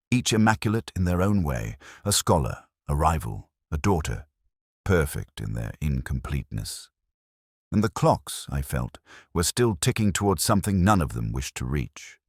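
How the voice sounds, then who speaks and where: Very deep, brooding detective narrator played by a man. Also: no echo, studio quality, exaggerated voice. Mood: brooding, uneasy. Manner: measured, literary, ruminative. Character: brooding, erudite middle-aged male police inspector. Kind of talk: scripted first-person detective narration, audio drama.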